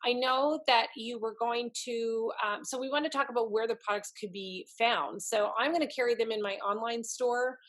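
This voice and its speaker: A woman's voice: on the high side, normal speed, few pauses. A woman hosting a casual live video chat, enthusiastic and rambling with fillers. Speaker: host. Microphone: video call.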